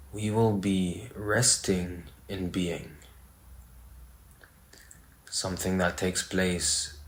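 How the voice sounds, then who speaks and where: Very slow, low-voiced man guiding meditation. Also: fairly bright tone. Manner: slow, pausing between short phrases. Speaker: meditation guide. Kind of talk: guided meditation. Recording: roomy, echoey sound.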